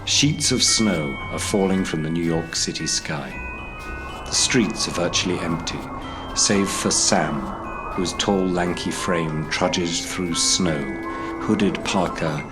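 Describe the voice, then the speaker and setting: A man narrates a drama script in a deep, low voice. Loud music plays behind his scripted delivery. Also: takes it slowly.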